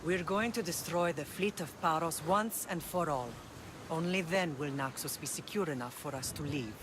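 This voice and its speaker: A man's voice: fairly high pitch, moderate pace, noisy background, close to an everyday voice. An actor voicing a grim, determined male island leader in a video game cutscene, speaking steadily and earnestly. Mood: resolute.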